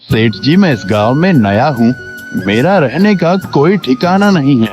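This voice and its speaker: An actor playing a humble, hardworking poor village laborer in a cartoon moral story, speaking politely and earnestly. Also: male voice, medium pitch, expressive, measured pace, darkish tone, faint music behind, close to an everyday voice. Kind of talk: Hindi animated moral story dialogue.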